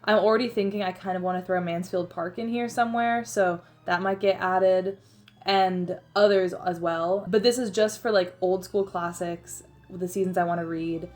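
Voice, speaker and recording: A woman's voice: lowish voice, steady tone, normal speed, a little mellow. A young woman chatting casually as she narrates her own vlog, self-deprecating and full of filler words. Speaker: book vlogger.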